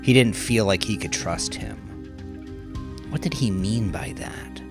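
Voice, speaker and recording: A man reading scripted dark crime fiction as a narrator, with measured, deliberate and dramatic phrasing that draws out the ends of lines. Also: on the low side, over loud music.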